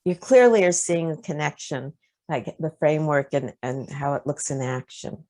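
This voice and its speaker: A woman with a lowish voice leads a workshop over a video call. She talks in a loose, conversational way, often pausing on "um" as she gathers her thoughts. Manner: relaxed, conversational, with frequent fillers. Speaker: presenter leading a discussion. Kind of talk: online workshop discussion.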